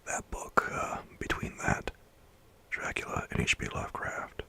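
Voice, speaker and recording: A man chatting with his livestream viewers in a soft whisper, rambling and chuckling as he goes. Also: unhurried.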